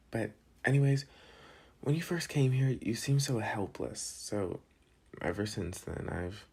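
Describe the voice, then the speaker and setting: A young man playing a protective, teasing but soft-hearted school delinquent in an intimate, casual ASMR boyfriend roleplay. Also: fairly low pitch, vocal fry, slightly rough, exaggerated voice.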